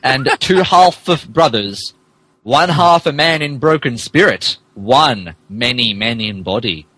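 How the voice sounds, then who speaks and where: A man's voice: medium pitch, lively intonation, takes it slowly, pushed and bright, husky, no echo, low-quality recording. A man hosting and narrating, moving between casual chat and mock dramatic reading, amused and stumbling over garbled text. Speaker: podcast host and narrator.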